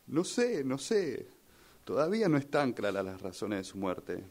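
Un hombre con acento argentino que interpreta en un radioteatro a un hijo en duelo, dubitativo y lleno de sospechas. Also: male voice, mid-range voice, sing-song, a little slow, darkish tone. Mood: troubled, doubtful. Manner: hesitant, repeats phrases. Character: grieving son troubled by suspicions over his father's death. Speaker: radio drama workshop participant. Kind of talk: rehearsed radio drama acting.

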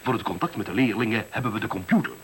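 A man's voice: lowish voice, expressive, very fast, lightly acted. An actor in a radio play voicing a smug, condescending male school director who talks glibly about efficiency and interrupts himself. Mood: dismissive, firm. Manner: condescending, glib, self-interrupting. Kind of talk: radio drama acting, conversational monologue. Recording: hissy, noisy old radio recording.

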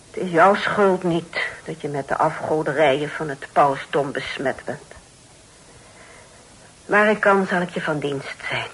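An actress playing a pious, stern but charitable older aunt in a radio play, speaking in a preachy, solemn manner. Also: female voice, sits low, a bit bright, husky, rough recording. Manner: preachy, scripture-quoting, solemn exclamations. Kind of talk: Dutch radio play acting. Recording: old radio play recording.

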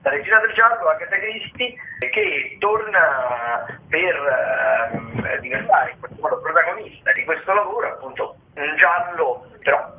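A man's voice: on the high side, lively intonation, measured pace, vocal fry, a little hiss or hum. A man hosting radio theatre listings in an informal, improvised way, rambling and hesitant, often correcting himself. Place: radio broadcast. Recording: tinny, narrow-band radio or phone sound.

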